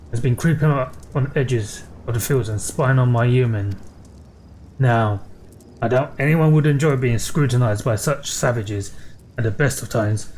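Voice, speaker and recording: A man's voice: medium pitch, darkish tone, natural voice. Male reader as a seafaring farm boss handing out quests, old-fashioned wording, game music behind. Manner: folksy, old-fashioned phrasing. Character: gruff, worried farm boss and former sailor. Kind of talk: reading quest dialogue aloud.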